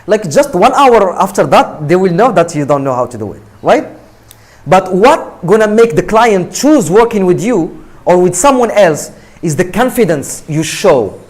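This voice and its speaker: Lively-pitched man giving an emphatic talk. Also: normal speed. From Morocco. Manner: emphatic, repetitive, asks "right?" often. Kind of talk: motivational talk to students.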